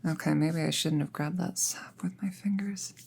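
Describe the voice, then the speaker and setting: Low, husky young witch, played by a woman. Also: fairly even intonation, normal speed, exaggerated voice. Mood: relaxed, focused. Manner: casual, musing, softly breathy, often near a whisper. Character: friendly, chatty young apprentice witch, laid-back and whimsical. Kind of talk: intimate roleplay audio, soft rambling monologue.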